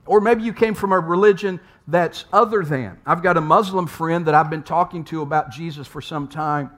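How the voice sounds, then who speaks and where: A man's voice: a little high, moderate pace, fairly bright tone. A man preaching a sermon in a conversational way, asking rhetorical questions and sometimes repeating words as he goes. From United States. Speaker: pastor.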